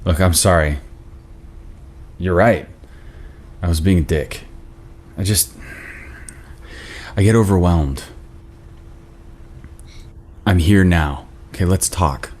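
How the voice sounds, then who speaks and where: A man's voice: low-pitched, slow, long pauses, a little mellow. A man playing a weary, self-doubting young boyfriend, hesitant and grumbling, in a screenplay table read. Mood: apologetic, hesitant.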